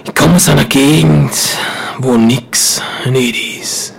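A man with an Upper Austrian accent putting on a gruff, raspy rural voice to chant a poem in a radio studio. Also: medium pitch, takes it slowly, slight background noise. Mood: brooding. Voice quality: gravelly, raspy. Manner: chanting repetitive poem lines, swinging between loud and whispered.

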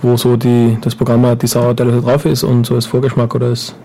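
A man's voice: mid-range voice, monotone, brisk, gravelly, dark tone, slight background noise. A man with an Upper Austrian accent chatting casually as a guest in a radio studio, rambling and joking with lots of fillers. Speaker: guest performer.

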